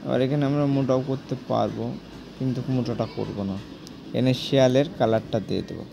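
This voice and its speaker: A man's voice: mid-range voice, fast talker, gravelly, background noise. A man who teaches cartoon animation talks viewers through a drawing step by step, repeating himself and checking that they follow. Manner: repetitive, coaxing, addresses viewers directly. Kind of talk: screen-recorded drawing lesson.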